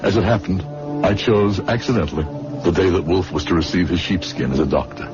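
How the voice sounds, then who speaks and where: Brooding low-voiced medical assistant, muffled radio. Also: male voice, expressive, a little fast. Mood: bitter, regretful. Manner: brooding, dramatic. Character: regretful, bitter male medical assistant telling his downfall. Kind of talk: old-time radio drama narration and dialogue. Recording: muffled radio recording with loud music.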